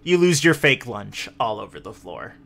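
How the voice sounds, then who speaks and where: A man narrating a tabletop roleplaying game as game master, improvising dramatically with deliberate pauses. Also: mid-range voice, sing-song, gravelly, bright, forceful tone.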